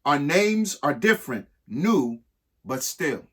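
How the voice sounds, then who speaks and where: A husky-voiced man reading a rhyming picture book aloud expressively, emphatic, with pauses between short phrases. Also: a little high, big pitch swings, fairly bright tone. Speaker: reader of a children's picture book. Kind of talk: expressive read-aloud of a rhyming alphabet book with spoken asides.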